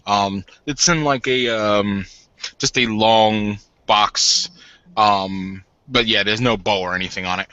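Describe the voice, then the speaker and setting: A man's voice: fairly low pitch, takes it slowly, bright, forceful tone, smooth, dry recording. A man casually improvising narration as a player in a tabletop role-playing game. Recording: dull lo-fi audio.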